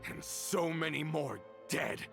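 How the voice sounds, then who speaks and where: A man's voice: fairly high pitch, animated, takes it slowly, creaky, music in the background, heavily acted. An actor playing a bitter, vengeful man in a dramatic video game, theatrical and accusatory. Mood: anguished fury. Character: bitter, vengeful man, an embittered former comrade.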